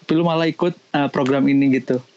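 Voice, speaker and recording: A man's voice: medium pitch, steady tone, fast talker, darkish tone, slight background noise. Slangy male podcast host, dull audio. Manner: slangy, prompts with short questions and murmured agreement. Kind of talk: casual chat.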